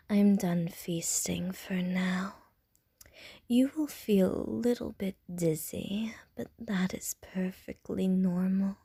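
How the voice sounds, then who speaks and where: A woman voicing a short, flirtatious female vampire in an ASMR roleplay, speaking softly in a half-whisper and teasing with innuendo. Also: medium pitch, slow, vocal fry, husky, no room sound, clean studio sound, heavily acted. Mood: flirty whisper. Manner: soft, half-whispered, intimate delivery.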